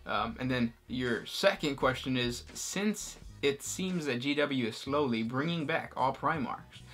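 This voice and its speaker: A man's voice: mid-range voice, a little slow, a bit bright, soft background music. A man co-hosting a casual chat, laid-back and joking, trailing off with ums. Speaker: co-host.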